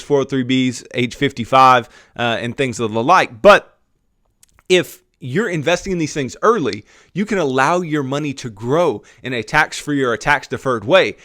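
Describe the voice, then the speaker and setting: A man's voice: medium pitch, fairly bright tone, dry recording, studio quality. A man hosting a finance podcast, explaining money topics in a conversational monologue that keeps checking in with "right?" and "okay?". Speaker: podcast host.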